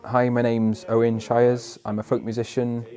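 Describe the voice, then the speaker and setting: A man from West Wales, a folk musician, speaking in a measured, thoughtful way with hesitant pauses. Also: mid-range voice, monotone, warm tone. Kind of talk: reflective spoken appeal for a project. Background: plucked guitar music.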